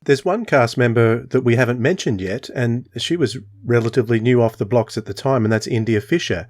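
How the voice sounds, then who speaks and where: A man's voice: mid-range voice, fairly quick, no room sound, clean studio sound. A man working as a podcast interviewer, putting questions in a casual conversational style.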